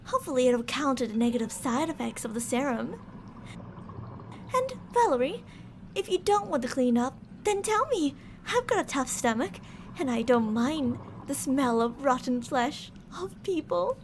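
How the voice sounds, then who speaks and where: A woman's voice: high-pitched, sing-song, normal speed, slight background noise. A woman playing a cocky, unhinged mad scientist in an ASMR audio drama, blunt and theatrical in her boasting. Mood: cocky, nonchalant.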